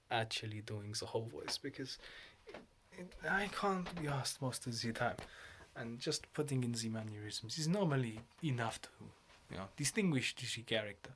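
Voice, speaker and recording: A man's voice: medium pitch, lively intonation, normal speed, a bit bright, slight background noise. A man talking to camera in a loose, meandering way. His voice sits in an ordinary middle register, but it keeps sliding into put-on accents and odd character voices. He laughs often as he goes. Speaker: vlogger. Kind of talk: rambling solo vlog.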